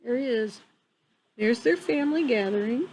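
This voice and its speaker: Animated higher-voiced woman reading a storybook. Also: rough recording. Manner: expressive, pausing to comment on pictures. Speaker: storyteller reading a picture book to children. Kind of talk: read-aloud storytime with casual asides. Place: outdoor wooden deck among trees. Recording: clear background noise, outdoor ambience.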